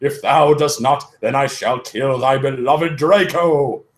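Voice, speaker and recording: A man voicing a villainous male dark lord who talks in pompous mock-archaic phrases. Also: mid-range voice, slow pace, close to an everyday voice. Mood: commanding.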